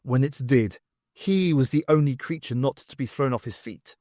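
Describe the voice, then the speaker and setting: A man's voice: mid-range voice, moderate pace, darkish tone, no echo, clean studio sound. A man reading an audiobook as scripted, dramatic story narration.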